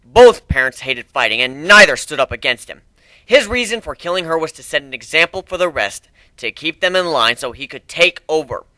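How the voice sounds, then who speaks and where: A stern griffin prosecutor, played by a man in a fantasy trial story. The delivery is formal, commanding and pointed, with lively swings in pitch and a bright, forceful edge. It is recorded dry and close. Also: fairly quick, no echo, poor audio. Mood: forceful, indignant. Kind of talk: dramatic fan fiction reading.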